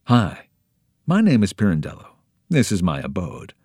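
A man reads as an odd, greedy hermit in a children's story, whose voice jumps high and sing-song on key words. His pitch range is lively, and he sounds close and dry. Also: normal speed, no room sound, exaggerated voice. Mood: welcoming. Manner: sing-song, swooping excitedly. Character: eccentric greedy adult male hermit.

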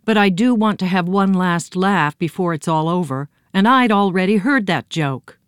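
Woman reader doing a polite plucky boy, slightly raised pitch, clean close recording. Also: moderate pace, clear, resonant tone, no echo, close to an everyday voice. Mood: apologetic.

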